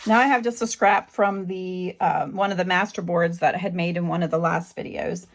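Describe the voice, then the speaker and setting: Middle-aged woman w/ sore throat, low gravelly voice, talks slow and halting thru a craft tutorial. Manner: relaxed, halting, with frequent ums. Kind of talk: casual craft tutorial narration.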